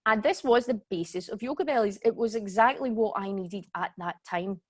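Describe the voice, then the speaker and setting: A woman's voice: mid-range voice, brisk. A woman in her forties with a Glaswegian accent, hosting a live online yoga workshop in a chatty way that keeps checking in with her listeners. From Scotland. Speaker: yoga teacher and workshop host. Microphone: computer mic on a video call.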